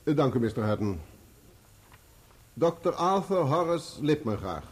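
An actor playing a courteous, methodical lawyer questioning witnesses formally in a radio play. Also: male voice, on the high side, sing-song, a little slow, noisy background. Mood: courteous, probing.

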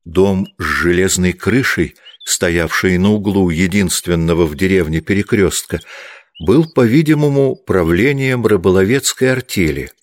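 A man's voice: sits low, expressive, a little slow. A man reading literary prose as the scripted narrator of an audiobook.

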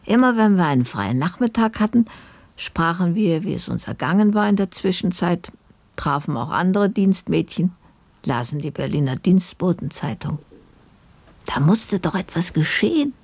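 An actress playing an older grandmother who narrates her youth as a maidservant in an unhurried, reflective radio drama style. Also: lowish voice, animated, darkish tone, no room sound. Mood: reflective, fond.

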